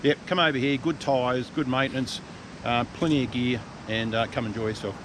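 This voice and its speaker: An Australian man hosting a motorcycle vlog, chatting casually outdoors in an easygoing way, with relaxed local slang. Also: a little fast. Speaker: vlog host and adventure motorcyclist. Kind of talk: casual chat to camera. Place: outdoors by the roadside. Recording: outdoor noise with vehicle rumble.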